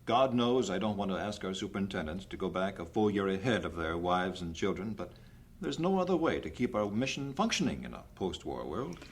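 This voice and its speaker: A low, very animated male voice plays a mission bishop in a vintage-style radio drama. He is earnest and sure of himself, stressing his points to persuade. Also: brisk. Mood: firm, earnest. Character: mission director bishop, earnest, confident, resolute. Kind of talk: old-fashioned radio drama acting.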